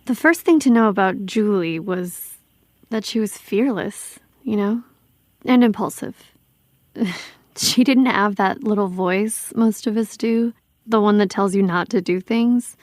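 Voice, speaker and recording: A woman playing a missing woman's protective, bitter best friend, talking in a casual, halting, emphatic interview style. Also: mid-range voice, dry recording, clean studio sound, natural voice. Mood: wistful, bitter. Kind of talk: acted documentary interview, conversational.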